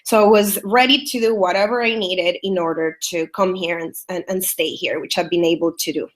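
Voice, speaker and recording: A woman's voice: fairly low pitch, fairly even intonation, fairly quick, poor audio. A woman in her thirties from El Salvador with a Salvadoran Spanish accent, telling her story as a webinar guest, chatty with many fillers and restarts. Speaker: guest speaker. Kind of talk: webinar interview talk.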